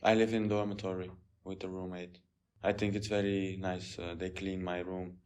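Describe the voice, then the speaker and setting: Low, flat-voiced young Dutch man. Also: normal speed. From Netherlands. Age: 20s. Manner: plain, short sentences, hesitant fillers. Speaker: exchange student. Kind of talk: casual interview answers.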